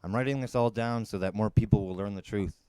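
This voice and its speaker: A man's voice: fairly low pitch, quick pace, dark tone, clear, resonant tone, no room sound. A man narrating a young adult novel as a storyteller, in a conversational first-person manner. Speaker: podcast host and storyteller.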